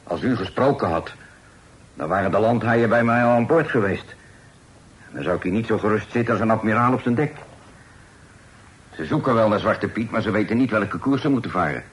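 A sly, self-assured pirate, played by a man in an old radio play. He speaks in a low voice, calm and unhurried, and peppers his talk with sea phrases. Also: natural voice, low-quality recording. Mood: musing. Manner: calm, sly, full of sailor's sayings. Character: smooth-talking male pirate, bold and sly.

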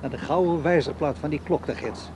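A man's voice: fairly high pitch, a little fast, natural voice. Evasive older man played by an actor, noisy outdoors. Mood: dreamy, musing. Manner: evasive, musing. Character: evasive, restless older bachelor, dreamy. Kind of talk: radio play acting. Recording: clear outdoor background noise.

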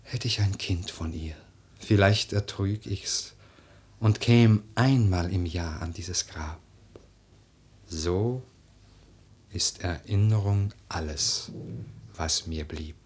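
Low husky grieving young nobleman. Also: darkish tone, heavily acted. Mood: mournful, reverent. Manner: declamatory, lyrical, slow and deliberate. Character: grieving young nobleman widower, intense and brooding. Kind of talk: theatrical German verse radio drama.

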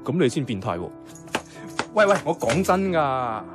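An actor playing a young male office worker with a Hong Kong Cantonese accent, teasing and gently encouraging in a radio drama. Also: mid-range voice, big pitch swings, moderate pace, lightly acted. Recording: music behind the voice.

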